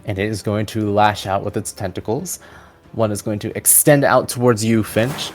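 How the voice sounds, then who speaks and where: A man's voice: lowish voice, steady tone, moderate pace. A man narrating a tabletop fantasy game as its game master. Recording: music playing behind. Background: background music.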